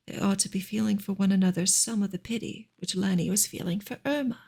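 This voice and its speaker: Woman doing soft asmr book reading, super breathy, loads of vocal fry, hushed n half whispered. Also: mid-range voice, fairly even intonation, quick pace. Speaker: ASMR reader.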